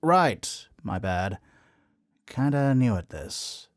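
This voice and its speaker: An actor playing a tired, awkward young substitute teacher who speaks in a hesitant, low-key way. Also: male voice, very animated, slow pace, no echo, studio quality.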